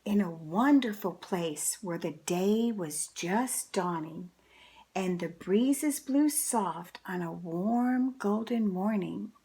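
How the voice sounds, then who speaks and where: Female storybook reader, teacher type: very unhurried, lively swooping pitch, touches of creak, dramatic delivery. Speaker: teacher reading a picture book to children. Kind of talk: expressive storybook read-aloud.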